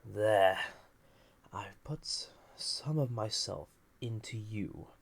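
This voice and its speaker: A man playing a flirtatious, dangerous banished villain, ancient and lonely, in an intimate roleplay audio drama, breathy and often half-whispered, teasing with languid pauses. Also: fairly low pitch, noisy background, full character voice. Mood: urgent, intent.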